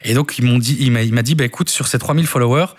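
A man's voice: mid-range voice, rapid-fire. A young man, a stand-up comedian, chatting casually as a radio guest, full of fillers and restarts. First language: Italian.

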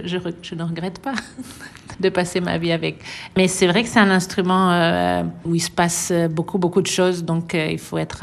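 Middle-aged woman, interviewee, lowish voice, reflective, pauses often. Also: creaky. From France. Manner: thoughtful, hesitant with many pauses, light laughs. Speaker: interviewee, violinist. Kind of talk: relaxed radio interview.

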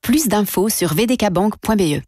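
A woman's voice: mid-range voice, fairly quick. A woman voicing a scripted radio ad in an upbeat way. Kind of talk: scripted ad dialogue.

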